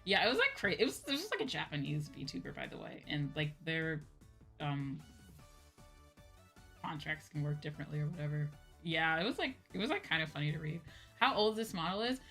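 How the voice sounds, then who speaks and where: A woman streamer chatting casually with her viewers in an animated, informal way, often checking in as she goes. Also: low-pitched, a little fast. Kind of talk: casual livestream chat. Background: background music.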